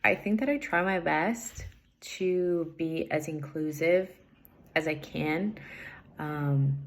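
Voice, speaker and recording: Low, gravelly woman. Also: takes it slowly. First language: Portuguese. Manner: thoughtful, many fillers and hedges. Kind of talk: answering assignment questions, casual.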